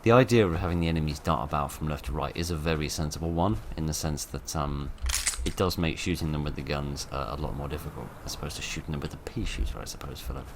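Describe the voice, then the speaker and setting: A man commentating his horror game playthrough in a chatty, wry way, with a voice that is getting hoarse. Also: rumbling low, fast talker, hardly any pauses, background noise. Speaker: gaming commentator. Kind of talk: let's play commentary.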